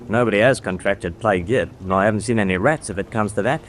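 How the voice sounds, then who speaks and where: A man's voice: fast, close to an everyday voice. An actor playing a wary, doubting seaman held prisoner, in a wartime radio drama. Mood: uneasy, doubting. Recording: clear background rumble.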